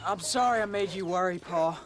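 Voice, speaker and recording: An actor playing a sullen, defiant teenage rancher's son with an American accent, defensive in a western video game. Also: male voice, high voice, fairly quick, over loud music, natural voice. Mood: subdued. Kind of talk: video game dramatic acting. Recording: outdoor noise with horse hooves.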